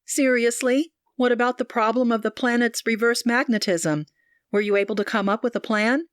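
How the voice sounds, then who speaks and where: A woman voicing an anxious, warm young woman who speaks high and eager. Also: normal speed, clear, resonant tone, no echo, studio quality, lightly acted. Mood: curious.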